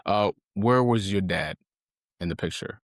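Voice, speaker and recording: A man's voice: low-pitched, measured pace, no room sound, clean studio sound. A man hosting an interview, asking brief, polite questions. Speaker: host.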